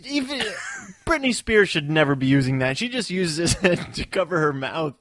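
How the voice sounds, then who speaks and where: A man co-hosting a podcast, a touring performer chatting in a relaxed, easygoing way and voicing strong opinions. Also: a little high, moderate pace. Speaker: podcast co-host. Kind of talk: casual chat.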